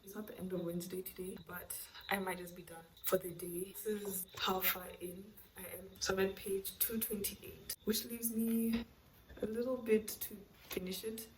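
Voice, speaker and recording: A woman's voice: medium pitch, level tone, moderate pace, background noise. A woman chatting casually and candidly in slangy, conversational language to camera, as a book vlogger. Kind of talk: casual reading vlog chat to camera.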